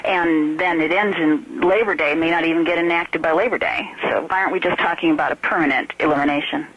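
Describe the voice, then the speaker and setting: A woman's voice: low-pitched, fairly even intonation, fast, slightly rough, no room sound. An American woman, a newspaper reporter, pressing a direct question over a lo-fi telephone line. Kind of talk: asking a question on a press call.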